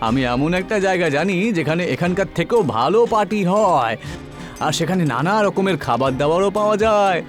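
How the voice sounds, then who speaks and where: A man voicing an arrogant, callous rich young bully in an animated story. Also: high-pitched, fairly quick, faint music behind. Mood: condescending.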